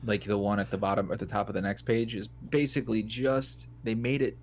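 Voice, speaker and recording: A man in his thirties with a fairly low voice co-hosts a casual gaming podcast. He talks in a relaxed, joking way and slips into a mock newscaster read. A faint hum runs under the recording. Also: brisk. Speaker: podcast co-host. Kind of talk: casual gaming chat, reading list items aloud.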